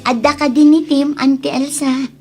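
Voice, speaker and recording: A woman's voice: high-pitched, level tone, normal speed, over loud music, close to an everyday voice. An actress playing an earnest, dutiful young Ilocano woman in a radio drama, speaking expressively. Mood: worried. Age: young adult.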